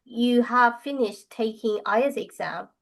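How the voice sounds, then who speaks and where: A woman's voice: fairly high pitch, slow pace. Chatty woman host, fillers and restarts. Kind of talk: casual interview.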